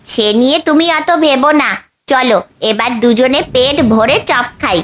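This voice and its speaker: A woman's voice: high voice, moderate pace, lightly acted. A woman voicing a kind magical bird in a cartoon story, reassuring and instructive in manner. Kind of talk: Bengali cartoon story dubbing.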